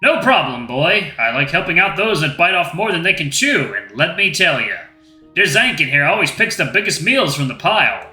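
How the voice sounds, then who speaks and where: A man's voice: a little high, very animated, moderate pace, sharp tone, exaggerated voice. A man voicing a broad-shouldered male professor who speaks in a hearty, folksy way. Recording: echoey room, faint music.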